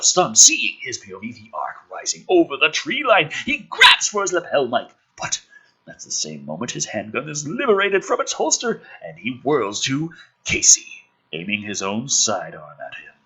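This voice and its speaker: A man's voice: mid-range voice, bright, forceful tone, husky, low-quality recording. A man hosting a show, mixing ranting commentary with dramatic script reading in a sarcastic, sweary, informal way.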